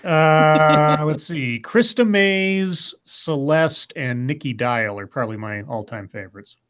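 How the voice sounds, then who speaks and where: A man's voice: fairly high pitch, takes it slowly, a bit bright, smooth. A man chatting casually on a group stream, agreeable and talkative. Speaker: co-host on the stream.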